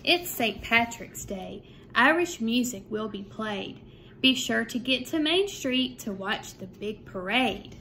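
A woman speaks like a primary teacher reading to young children. Her phrasing is measured and simple. She is recorded indoors at home, with some background noise. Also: a little high, animated, pushed and bright. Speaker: second grade teacher. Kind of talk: children's read-aloud. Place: small room at home, indoors. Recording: clear background room noise.

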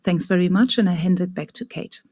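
A woman with a German accent, giving a measured, explanatory presentation over slides with frequent fillers. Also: fairly low pitch, mellow, husky, no room sound. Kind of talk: remote presentation.

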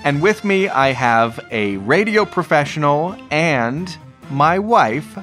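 A man's voice: fairly high pitch, big pitch swings, takes it slowly, fairly bright tone, soft background music. A man hosting a podcast, talking in a conversational introductory style. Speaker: podcast host.

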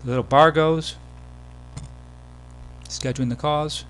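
A man giving a casual, step-by-step software tutorial as the presenter of a screen demo. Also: on the high side, fairly even intonation, takes it slowly, poor audio. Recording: background hum and noise.

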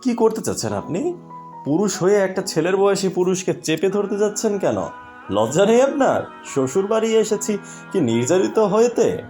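A man acts out a young husband in an audio story, brash and forever indignant. His pitch swings widely as he grumbles with theatrical sarcasm. Faint music plays underneath. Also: sing-song, normal speed, warm tone. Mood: indignant, shouting. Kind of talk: audio story character acting.

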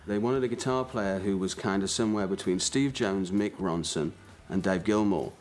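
A man's voice: low voice, normal speed, a little mellow, faint music behind. A man with a Newcastle accent, a band guitarist telling wry stories in a documentary interview.

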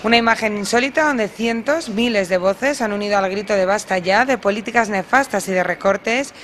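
A woman's voice: mid-range voice, fairly quick, a bit bright, a little hiss or hum. A woman reporter reading a scripted news voice-over in a formal, emphatic way.

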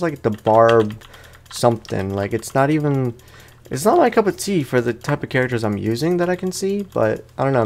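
A man with a mid-pitched voice gives casual commentary as he plays a video game. He is chatty and playful, talking in loose slang as the host of a let's play. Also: vocal fry, darkish tone, slight background noise.

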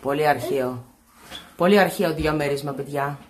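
A woman's voice: sits low, a crowd in the background. A woman filming a casual family room tour, commenting in short remarks and exclamations. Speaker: mother filming a family travel vlog. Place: small stone-walled holiday apartment. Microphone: behind a handheld camera. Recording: faint background noise.

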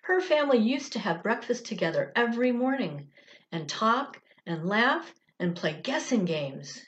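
A woman reading a children's story aloud in an expressive, deliberate storytelling manner. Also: on the high side. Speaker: director of religious education, storyteller.

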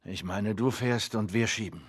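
A man's voice: on the low side, normal speed, husky, no echo. A male actor playing a stern, weary middle-aged survivor in a dubbed video game, terse and no-nonsense. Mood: stern, impatient. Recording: noisy game cutscene soundtrack.

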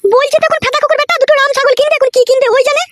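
Woman doing squeaky cartoon daughter, rural west bengal accent, chatty and griping, words come really fast. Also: fairly even intonation, dry recording. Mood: complaining. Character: plain-spoken married daughter visiting her parents. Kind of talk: cartoon comedy dialogue.

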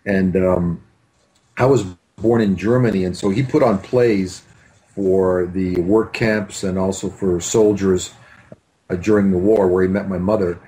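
Mellow, low-voiced older man. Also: fairly even intonation, measured pace, low-quality recording. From Canada. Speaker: film director, interview guest. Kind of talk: reminiscing in an interview. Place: home room with bookshelves. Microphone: computer mic on video call.

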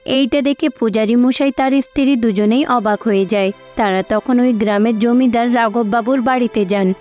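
A woman's voice: fairly high pitch, normal speed, a little mellow. A woman reads scripted narration for a cartoon fairy tale. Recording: slightly dull audio with music behind.

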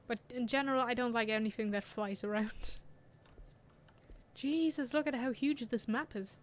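A woman's voice: on the high side, measured pace. A young woman with a German accent, chatting casually and laughing as she plays a video game and answers chat. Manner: chatty, laughs often, reads chat aloud. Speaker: streamer. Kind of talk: casual gameplay commentary.

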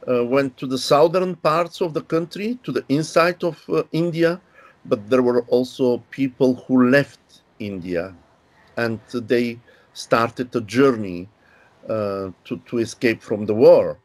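A man in his sixties from Bulgaria, a linguistics professor explaining history in measured, unhurried interview answers over a video call from a home study. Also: medium pitch, a little mellow. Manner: measured, unhurried, with pauses and fillers.

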